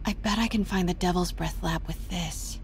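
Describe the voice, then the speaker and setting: A woman's voice: on the low side, steady tone, normal speed, gravelly, slight background noise. A woman voicing a determined young female superhero in video game dialogue, earnest and focused. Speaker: lead game character.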